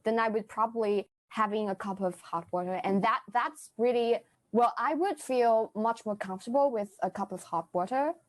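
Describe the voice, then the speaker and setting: A woman's voice: fairly high pitch, normal speed, few pauses. A young woman from China, a guest on a podcast, speaking English with a Chinese accent in an earnest, simple way. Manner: earnest, simple non-native phrasing. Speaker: guest, university student. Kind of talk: casual podcast chat.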